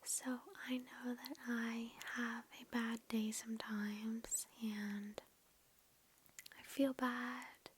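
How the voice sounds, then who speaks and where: A woman whispering a guided ASMR meditation in a breathy, gentle and reassuring way, close to the microphone. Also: fairly high pitch, level tone, extremely slow. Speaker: ASMR host. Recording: lo-fi with background noise and clicks.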